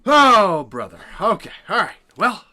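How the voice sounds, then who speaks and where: Casting: male vlog host, 30s, bright forceful tone, lively swings, jokey and self-deprecating. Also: high-pitched, a little slow. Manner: playful, self-mocking, nervous jokiness. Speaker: reaction video host. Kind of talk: casual chat to viewers.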